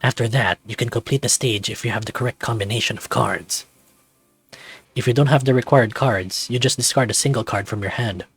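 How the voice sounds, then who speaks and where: Visual novel son, male performer: young adult, sarcastic gamer, needling banter, soft music bed. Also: medium pitch, fairly quick, breathy edge, no room sound. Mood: explaining, casual.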